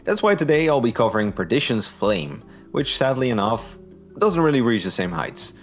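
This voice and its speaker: A man's voice: medium pitch, sing-song, moderate pace, soft background music. A man giving a scripted review in an informal, opinionated way. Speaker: book and audio drama reviewer.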